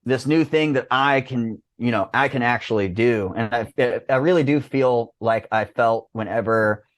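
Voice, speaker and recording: Excited, slangy man in his thirties. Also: mid-range voice, a little slow, no room sound. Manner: enthusiastic, slangy, rambling. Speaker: podcast guest, disc golf business owner. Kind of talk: casual excited chat, heavy swearing.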